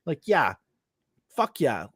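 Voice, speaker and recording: A man's voice: fairly high pitch, slow pace, husky, dry recording, clean studio sound. A man co-hosting a podcast, talking animatedly about movies in casual chat, swearing often and filling his talk with "like". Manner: animated, heavy swearing, lots of "like". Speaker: podcast co-host.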